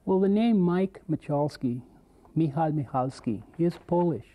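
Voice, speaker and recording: Relaxed young American man. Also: on the high side, unhurried. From southwestern United States. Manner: relaxed, hesitant with fillers. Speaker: guest, teacher trainee. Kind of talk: classroom guest answering questions. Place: school studio.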